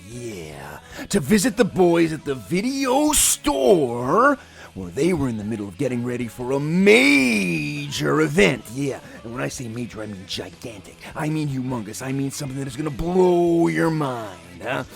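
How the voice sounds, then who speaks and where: Man acting a slow, gravelly, hammy narrator. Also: mid-range voice, sing-song, faint music behind. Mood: teasing. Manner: drawn-out, repetitive build-up with interjections. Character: hammy, teasing storyteller narrator man, building hype. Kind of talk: scripted narration.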